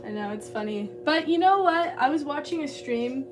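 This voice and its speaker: A woman's voice: sits high, lively intonation, normal speed. A young woman from Southern California chatting casually and giggling as she reads viewer comments while she works clay. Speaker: livestreamer. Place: home pottery studio. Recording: distant mic with music playing behind.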